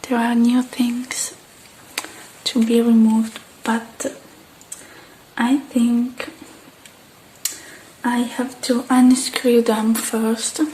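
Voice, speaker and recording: A woman doing an ASMR repair roleplay, speaking in soft, breathy whispers and hushed, halting murmurs close to the mic, addressing the listener. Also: on the high side, flat delivery, slight background noise, rough recording. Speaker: ASMR artist.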